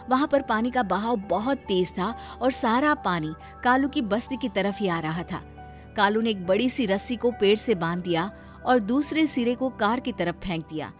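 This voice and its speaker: A woman narrator reading a scripted moral story. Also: medium pitch.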